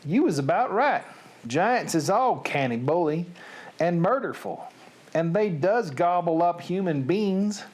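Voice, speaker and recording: Booming, boastful male giant for a storybook, Southern US drawl, broken grammar, swooping pitch performed by a male reader. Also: animated, measured pace, a little mellow. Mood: boisterous, boastful. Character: big friendly giant, male, booming, boisterous and ungrammatical. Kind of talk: storybook character reading.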